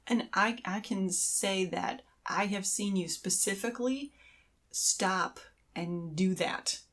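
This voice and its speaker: A woman's voice: medium pitch, slow pace, fairly bright tone. A woman co-hosting a podcast in casual conversation, explaining things in a supportive way. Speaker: podcast co-host.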